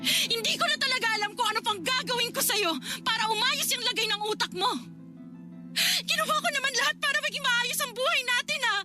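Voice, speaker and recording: A woman's voice: very high-pitched, a little fast. A woman acting as an exasperated older sister in a Filipino radio drama, emotional and scolding as she confronts a wayward sibling. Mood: exasperated, upset. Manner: emotional, scolding, insistent questioning. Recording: dramatic music bed behind.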